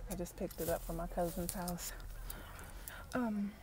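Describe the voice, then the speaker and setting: A woman, chatting casually in a parked car for an ASMR video, often dropping to soft whispers, recorded on a wired earbud mic. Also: on the low side, fairly even intonation, a little slow. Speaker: ASMR vlogger. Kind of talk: ASMR gum-chewing car chat. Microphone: wired earbud mic held near mouth. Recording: faint background noise, mouth clicks.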